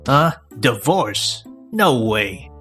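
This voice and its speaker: An actor playing a selfish, lazy young husband in a manga dub, whiny, smug and dismissive. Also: male voice, mid-range voice, very animated, extremely slow, pushed and bright, husky, soft background music, heavily acted. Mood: indignant, whiny.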